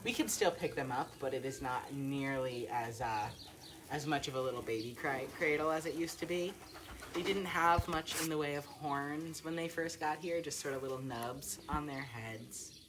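A woman's voice: deep voice, normal speed, noisy background. A woman hosting a casual live stream from an outdoor barnyard, chatty with many fillers and some laughter. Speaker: sanctuary livestream host.

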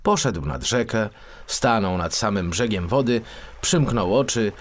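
A man narrating a children's story as an audiobook, in an expressive storytelling style. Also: lowish voice, measured pace.

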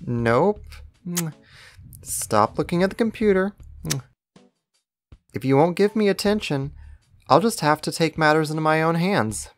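A woman's voice: low-pitched, a little slow, pauses often. A woman playing a clingy, affectionate young boyfriend in an intimate ASMR roleplay, teasing, coaxing and pouty. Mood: pouty, pleading.

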